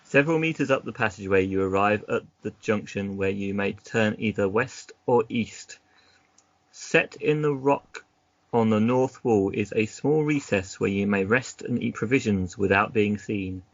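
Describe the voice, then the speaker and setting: A man acting as game master, reading gamebook narration aloud in an unhurried way and mixing it with casual chat. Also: lowish voice, no echo. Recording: dull lo-fi recording.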